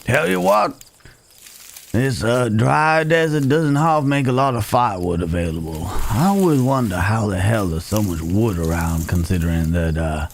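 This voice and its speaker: A man's voice: on the low side, animated, moderate pace, gravelly, a little hiss or hum. A man playing a wry, caring cowboy stranger in an ASMR Wild West roleplay, talking in folksy, rambling asides, with crickets behind him. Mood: musing, content. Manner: folksy, rambling, murmured asides to horse and companion.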